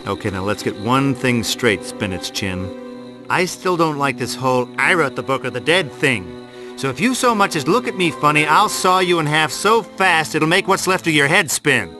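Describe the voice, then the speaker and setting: An actor playing a cocky, irritable, wisecracking middle-aged action hero in a video game, sarcastic and slangy. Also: male voice, fairly high pitch, expressive, moderate pace, music in the background, natural voice, poor audio. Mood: brash, dry.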